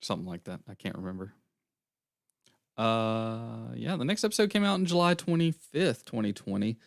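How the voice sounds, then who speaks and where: A young man co-hosting a casual podcast, slightly hoarse as he loses his voice, rambling through a recap with fillers. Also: mid-range voice, expressive, normal speed, no room sound, clean studio sound. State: losing his voice, airy. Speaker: podcast co-host. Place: small podcast room.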